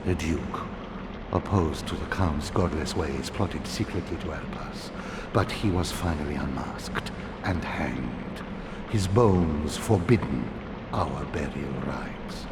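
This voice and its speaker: A haunted villager from a dark video game, his voice very low and echoing through a long hall. He speaks in grave, formal phrases, slow and deliberate, over loud noise. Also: heavily acted. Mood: bitter, sombre. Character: solemn, haunted male villager living in hiding. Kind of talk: dramatic video game cutscene acting.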